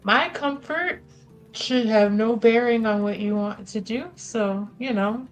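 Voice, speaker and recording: A woman playing a dry, protective, dutiful hostess in improvised tabletop roleplay, wry and measured. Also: fairly high pitch, soft background music, lightly acted, low-quality recording. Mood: reluctant, polite.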